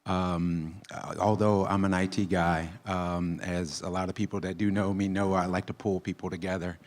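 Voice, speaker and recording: Low-voiced American man on a panel. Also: level tone, normal speed. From United States. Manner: easygoing, starts with ums. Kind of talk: panel discussion.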